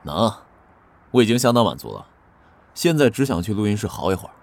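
Casting: laconic young singer, notably low register, understated delivery, audio drama. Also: male voice, sing-song, a little fast, vocal fry, a little mellow. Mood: deferential. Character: low-voiced, subdued young male idol singer. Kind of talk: Chinese audio drama dialogue.

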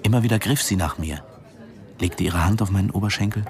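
A male actor plays a defiant, lovestruck diving instructor in a radio drama. His voice sits very low, measured and confessional as he narrates. Music runs clearly behind him. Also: a little mellow, lightly acted. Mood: absorbed, confessional.

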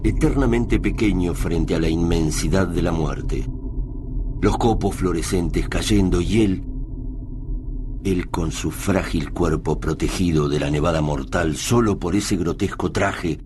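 A man's voice: low voice, measured pace. A man narrating a radio drama in a solemn, literary style. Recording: noisy ambient sound bed.

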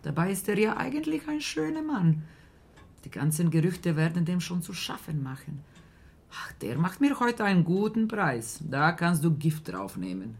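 A bossy farmer in a radio drama, with a medium-pitched voice. The talk is scolding and gossipy, slipping into muttered asides, like an employer keeping the help in line. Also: very animated, moderate pace, vocal fry, slightly rough, exaggerated voice. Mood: gossiping, scheming. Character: bossy, chatty small-town farmer, scolding a servant. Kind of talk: German radio play acting.

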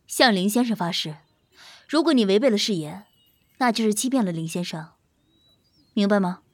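A woman's voice: mid-range voice, animated, moderate pace, no echo, natural voice. A woman acting a strict, exasperated celebrity manager in an audio drama, firm, admonishing and businesslike. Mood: demanding.

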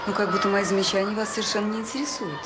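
Officious female teacher, radio drama, played by an actress: mid-pitched, prim, lecturing, indignant, with heavy noise around the voice. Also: steady tone, racing, a loud crowd, natural voice. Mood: self-important. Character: officious, fault-finding female teacher, self-important visiting relative. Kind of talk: naturalistic Soviet radio drama acting. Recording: very noisy audio drama recording.

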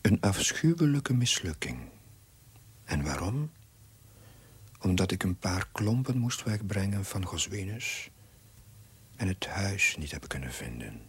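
An actor playing a pensive, self-doubting village curate, narrating his diary in a measured, hushed way in a TV period drama. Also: low voice, sing-song, a little hiss or hum. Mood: rueful. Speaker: narrator, a young village priest.

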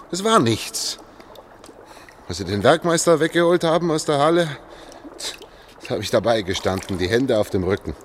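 An actor playing a desperate, agitated engineer on the run in a German radio drama, halting and repeating himself as he pleads. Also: fairly low pitch, slight background noise, close to an everyday voice. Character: desperate, agitated male engineer fleeing underground.

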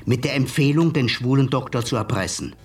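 An actor playing a cool, mocking male blackmailer in a radio play, smooth and unhurried. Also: medium pitch, far from the mic, close to an everyday voice. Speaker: blackmailing hacker visitor. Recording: voice set back from mic, music behind.

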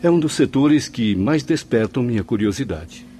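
A man's voice: mid-range voice, lively intonation, moderate pace, warm tone, slight background noise, close to an everyday voice. A man with a Brazilian accent playing a curious, courteous spirit apprentice in a radio drama.

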